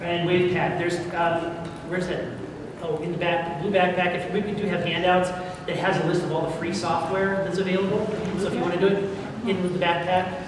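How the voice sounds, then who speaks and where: A man's voice: sits high, little pitch movement, fairly quick, few pauses, noisy background. A man hosting an informal question-and-answer panel at a convention. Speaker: audio drama producer and panel host. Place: large indoor convention room with audience. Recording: echoey crowded hall, distant camera mic.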